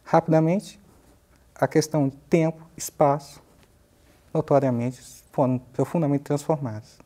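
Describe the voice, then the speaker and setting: A man in his fifties with a Brazilian accent, a professor explaining ideas in a measured, halting way in a studio interview. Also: on the high side, fairly even intonation, mellow. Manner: measured, halting, searching for words. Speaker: university professor, interview guest. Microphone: lapel mic.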